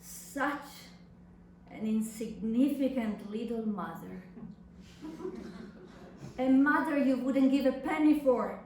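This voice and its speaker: Echoey distant room, woman acting as incredulous daughter, high voice, slow theatrical monologue, exclaiming. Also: darkish tone. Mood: tender reproach. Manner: dramatic, exclaiming, lingering on words with pauses. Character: incredulous grown daughter reading her mother's secret love letters. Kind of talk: theatrical dramatic monologue reading. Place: echoey room at a live public reading. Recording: echoey room from far off, faint noise.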